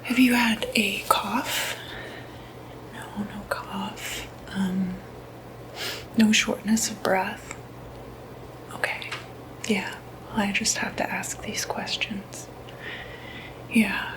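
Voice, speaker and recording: A woman speaking in a breathy whisper, softly reassuring and hesitant, in an ASMR medical roleplay as clinic staff. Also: mid-range voice, steady tone, drawn-out words, pauses often, rough recording. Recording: noticeable background noise, ambient sound.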